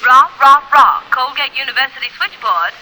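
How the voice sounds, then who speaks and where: Peppy switchboard guy, rah rah, high bright voice. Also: lively intonation, normal speed, long reverb tail, heavily acted, rough recording. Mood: chirpy. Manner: cheering, chirpy. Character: cheery college switchboard operator.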